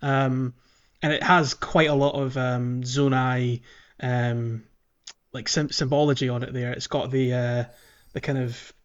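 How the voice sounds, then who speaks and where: A man's voice: mid-range voice, flat delivery, a little slow, smooth. Muffled, casual man chatting about gaming. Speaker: podcast host. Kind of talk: casual chat about a video game.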